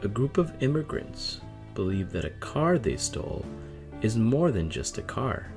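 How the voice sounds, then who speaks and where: A man's voice: fairly low pitch, sing-song, a little slow, gravelly, over music. A man presenting a story series in scripted, dramatic podcast intro narration.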